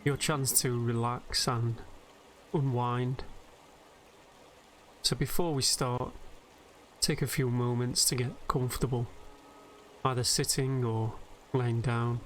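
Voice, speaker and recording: A man speaks very slowly in a soothing, gentle way, guiding listeners toward sleep. His mid-pitched voice stays flat and even, with faint noise and nature ambience from a game behind it. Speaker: host and narrator of a game meditation video. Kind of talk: guided sleep meditation commentary over gameplay. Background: game ambience of river and birdsong.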